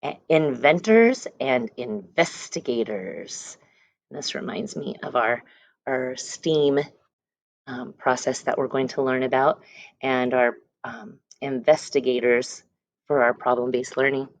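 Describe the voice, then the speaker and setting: A woman's voice: very deep voice, slow pace, dry recording. A woman teacher reading a picture book aloud to young children, stressing words and letter sounds and adding short explanations. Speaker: elementary school teacher reading aloud to class.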